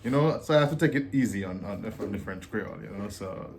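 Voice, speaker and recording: A man from Dominica with a Dominican accent, explaining in a relaxed, joking way as co-host of a casual vlog. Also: fairly low pitch, fast talker, slight background noise.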